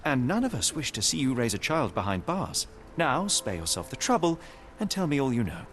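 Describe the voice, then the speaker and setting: An actor playing a shrewd, self-assured British gentleman detective in a video game, speaking formally and probingly. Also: mid-range voice, sing-song, fairly quick, slight background noise. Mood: pressing, stern. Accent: British English. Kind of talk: video game cutscene acting. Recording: music behind the voice.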